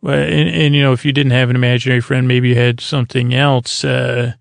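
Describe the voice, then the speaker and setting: Middle-aged male sleep-podcast host: heavy vocal fry, digressive and halting delivery, dry recording. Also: mid-range voice, level tone, no room sound. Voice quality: gravelly, creaky. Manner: halting, digressive, trails off and restarts mid-sentence. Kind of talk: rambling, meandering bedtime monologue.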